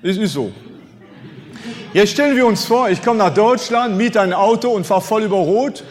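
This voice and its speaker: A man in his fifties, a native French speaker, preaching a sermon with animated rhetorical questions and emphatic swings in loudness. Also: sits high, moderate pace. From France. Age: 50s. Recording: long hall reverb.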